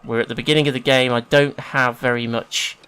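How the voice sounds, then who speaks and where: A man's voice: medium pitch, steady tone, brisk, sharp tone, smooth. An English man with a sniffly hay-fever nose gives casual, chatty, self-deprecating let's play commentary as a gaming host. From England.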